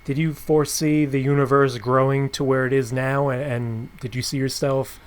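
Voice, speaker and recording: A man's voice: medium pitch, little pitch movement, normal speed. A man hosting a casual podcast interview, feeling his way through questions and rephrasing as he goes.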